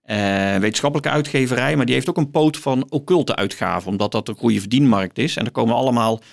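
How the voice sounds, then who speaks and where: A middle-aged man from the guest's chair, speaking in a gravelly, creaky voice at medium pitch. He explains books conversationally, pausing and repeating words while he looks for the right phrase. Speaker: guest, professor.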